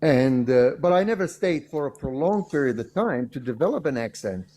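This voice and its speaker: A man's voice: medium pitch, animated, normal speed, dark tone. A man in casual conversation on a livestream, explaining things in a rambling way and repeating himself. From Australia (resident). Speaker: livestream discussion participant. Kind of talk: casual chat. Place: livestream call.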